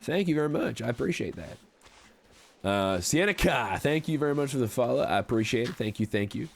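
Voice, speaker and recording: A man's voice: mid-range voice, expressive, a little fast, creaky. An Australian man voicing a friendly, polite cowboy with a put-on American Southern accent, chatting casually and folksily as he streams a game. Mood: grateful, amused. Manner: folksy, courteous, rambling. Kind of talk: casual livestream chat over gameplay.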